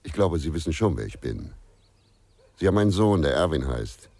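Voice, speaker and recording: Very deep, gravelly brooding investigator. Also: male voice, sing-song, dark tone, dry recording, heavily acted. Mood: terse, determined. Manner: terse, deliberate, brooding. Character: brooding, terse male investigator narrating his case. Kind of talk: German radio crime drama acting, inner monologue.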